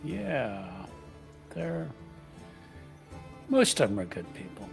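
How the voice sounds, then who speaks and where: A man chatting through a tabletop game, loose and quick to laugh. His pitch swings very widely, and faint music runs underneath. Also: sing-song, creaky. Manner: laughs often, loose and joking. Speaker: player. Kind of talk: casual roleplay chat.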